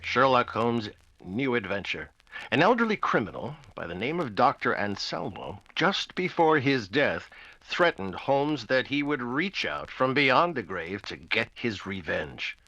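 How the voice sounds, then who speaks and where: A man as a genial 1940s radio announcer with a polished, scripted delivery. His voice is bright and highly inflected, in a dry, slightly dull recording. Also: very animated, a little slow, no room sound, heavily acted. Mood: dramatic.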